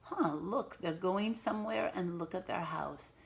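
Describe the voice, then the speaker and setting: A woman teacher reading a picture book aloud to young children, pausing to explain words and ask simple questions in an instructive way. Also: on the low side, creaky.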